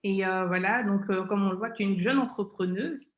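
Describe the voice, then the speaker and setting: A woman hosting a live interview show, speaking in halting, repetitive phrases. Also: mid-range voice, a little hiss or hum. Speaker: webmagazine founder and show host. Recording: roomy, echoing live-stream sound.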